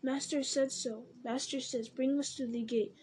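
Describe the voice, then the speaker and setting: A scrawny, pitiful male creature whines and begs in a high, pleading voice, his tone soft and mellow underneath. A woman reads him aloud in an audiobook, pushing her voice upward. There is a faint hiss behind. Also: steady tone, moderate pace, close to an everyday voice. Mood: wheedling. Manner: whining, pleading, speaks of himself by name. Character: wretched wheedling male creature, scrawny, fawning.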